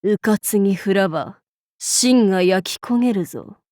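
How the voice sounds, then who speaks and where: A woman acts out an imperious female demon king from a video game. She talks slowly, in archaic and lordly phrases, haughty yet amused. The recording is close and very dry. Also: mid-range voice, a little mellow, no room sound, close to an everyday voice. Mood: commanding. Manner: archaic, lordly, commanding speech. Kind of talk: Japanese game character voice lines.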